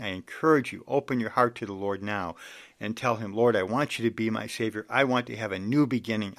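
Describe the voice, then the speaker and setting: Gravelly man in his sixties preaching. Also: mid-range voice. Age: 60s. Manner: earnest, exhorting, reads scripture aloud. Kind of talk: sermon.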